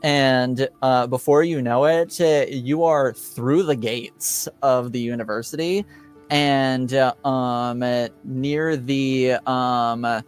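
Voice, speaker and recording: A man running a tabletop roleplaying game as game master, narrating and making rulings in a halting, hesitant way. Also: mid-range voice, fairly even intonation, clear, resonant tone, soft background music. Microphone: home microphone on an online call.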